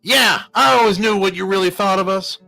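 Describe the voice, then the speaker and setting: A man voicing a sharp-tongued outlaw woman in an audiobook western, combative, with drawling cowboy slang. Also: high voice, brisk, vocal fry, soft background music, voice pushed up, heavily acted, poor audio. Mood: accusing.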